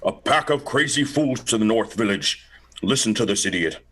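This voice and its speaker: A man's voice: on the low side, expressive, moderate pace, fairly bright tone, husky. A man playing a stubborn, folksy old village grandsire with a grumbling rustic drawl in an amateur radio play. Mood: scornful, grumbling.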